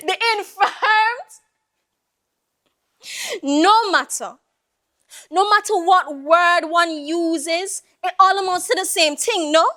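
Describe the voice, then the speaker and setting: A woman's voice: very high-pitched, fairly bright tone, exaggerated voice. A woman performing a theatrical monologue as a proud, weary old Jamaican Creole woman, lamenting and wistful, full of rhetorical questions and sighs. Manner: slow, lamenting, rhetorical, with sighing exclamations.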